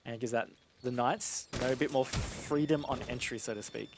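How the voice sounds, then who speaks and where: A man's voice: mid-range voice, fairly even intonation, fairly quick, music in the background. A man giving analytical live commentary on an esports match in a conversational, explanatory way. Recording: clear game noise, gunfire behind.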